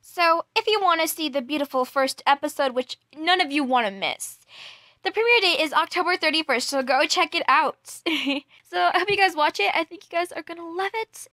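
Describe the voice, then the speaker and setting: A young woman chatting casually to viewers in a gushing, excitable, giggly manner, as an amateur voice actress promoting a project. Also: high-pitched, normal speed, no echo. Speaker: amateur voice actress introducing a role.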